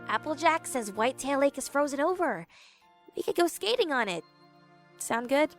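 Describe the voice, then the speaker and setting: A woman's voice: sits high, expressive, moderate pace, faint music behind. A woman voicing a worried, protective tomboy pegasus mother, casual and earnest, in a dramatized story reading. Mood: apologetic. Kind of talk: dramatized fan fiction reading.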